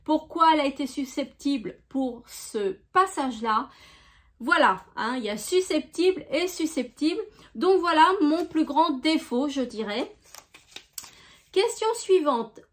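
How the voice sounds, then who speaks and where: A woman from France hosting her own channel, chatting gently through viewer questions with many small hesitations. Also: high-pitched, expressive, measured pace. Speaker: YouTube crafts channel host. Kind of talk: casual FAQ video answering viewer questions.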